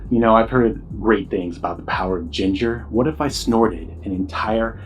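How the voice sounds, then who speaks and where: A man's voice: lowish voice, moderate pace, slight background noise, lightly acted, poor audio. A man playing a broke, sleepless musician in an audio drama, sardonic and griping with theatrical indignation. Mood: skeptical, flippant. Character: struggling, insomniac musician, broke, sarcastic and bitter. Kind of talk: scripted audio drama dialogue.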